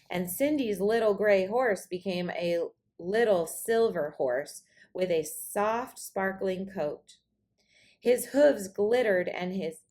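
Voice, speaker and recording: A woman reading a children's story aloud in an expressive, dramatic storytelling manner. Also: medium pitch. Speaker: storybook reader.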